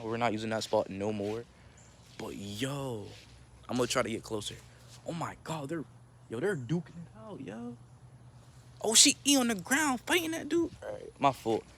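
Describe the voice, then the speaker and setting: A man's voice: medium pitch, normal speed. A young man chatting casually in a rambling vlog, slangy and laid-back, with a nonchalant delivery. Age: young adult. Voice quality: monotone at times. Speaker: vlogger and amateur musician. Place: outdoors.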